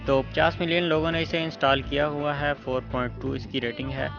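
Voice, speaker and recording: A man with a creaky voice at an average pitch presents a casual app tutorial, step by step. The recording is dull and lo-fi. Music plays faintly behind him. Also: flat delivery, fairly quick. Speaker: tech tutorial host. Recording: muffled lo-fi voice with background music.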